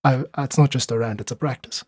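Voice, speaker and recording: A man speaking as a podcast guest, reflective and earnest, slightly husky, making his points with emphatic repetition. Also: mid-range voice, fast talker, darkish tone, dry recording, studio quality. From South Africa. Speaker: podcast guest, independent musician. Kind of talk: reflective casual conversation.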